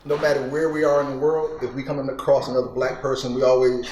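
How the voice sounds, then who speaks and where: A man from Philadelphia, a stand-up comedian, chatting as a guest in a relaxed, joking way. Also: medium pitch, quick pace. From Philadelphia, USA. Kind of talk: casual chat. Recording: echoey room with background noise.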